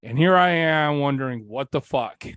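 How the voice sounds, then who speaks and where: A man's voice: medium pitch, normal speed, a bit bright, clean tone, no room sound. A man in his forties, originally from Bulgaria, telling his story as a podcast guest in a candid, rambling way, with many fillers. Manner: candid, rambling, with frequent fillers and occasional swearing. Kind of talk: casual interview storytelling.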